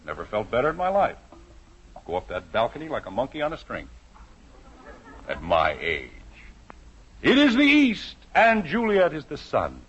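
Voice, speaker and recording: A man's voice: medium pitch, huge pitch swings, moderate pace, pauses often, fairly bright tone, husky, heavily acted. An American actor playing a vain, good-natured middle-aged suburban father in a theatrical, blustering 1950s radio sitcom style. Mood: self-satisfied. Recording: muffled, noisy old radio recording.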